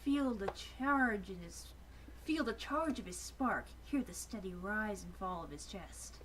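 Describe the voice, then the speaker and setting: A woman's voice: medium pitch, animated, measured pace, fairly bright tone. A woman narrating an informal dramatic reading of a story, with casual asides. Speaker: reviewer reading aloud as narrator. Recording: noisy home recording with clicks.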